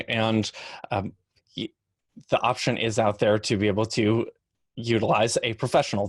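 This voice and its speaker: A man's voice: on the low side, dry recording. A man speaking as an expert panelist, explaining things in a conversational way. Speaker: panelist, freelance podcast editor.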